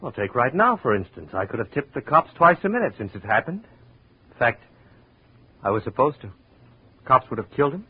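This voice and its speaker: A man's voice: mid-range voice, big pitch swings, a little fast, pauses often, breathy edge. A man playing a sly, prickly, fatalistic barfly in a 1950s radio drama, with a sardonic, world-weary manner. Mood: confiding. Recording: tinny, hissy old radio recording.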